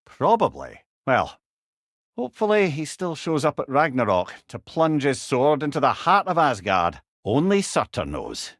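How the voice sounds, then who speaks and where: A learned old Scottish god from a video game, a companion to warriors who tells tales with wry wit. His pitch swings expressively through eloquent, old-fashioned sentences, and the sound is dry and close. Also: huge pitch swings, measured pace, fairly bright tone, no room sound, exaggerated voice. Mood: wry, curious. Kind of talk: video game character dialogue, storytelling banter.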